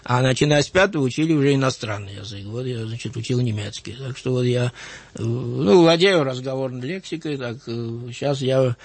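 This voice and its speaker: A man in his sixties from Georgia with a light Georgian accent, a writer recalling his life in an interview, measured and thoughtful with frequent hesitant fillers. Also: medium pitch, gravelly, slightly rough, dry recording. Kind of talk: reflective interview recollection.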